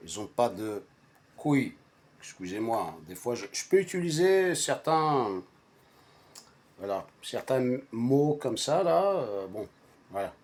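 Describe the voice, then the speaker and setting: A man, a native Arabic speaker, tells a personal anecdote in a casual way. His delivery is slow and halting, with repeated phrases for emphasis. The pitch moves around in a lively, animated fashion. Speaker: storyteller recounting a personal anecdote to friends. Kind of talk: casual spoken storytelling.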